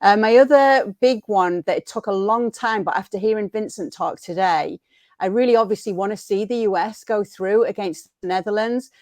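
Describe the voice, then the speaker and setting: Woman on a football show, chatty sports discussion, medium pitch, no room echo. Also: moderate pace, darkish tone.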